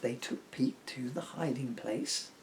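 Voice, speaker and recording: A man's voice: medium pitch, a little slow, a little hiss or hum. A middle-aged man reading a children's story poem in a theatrical, jokey way, with chatty asides. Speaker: storybook reader and author.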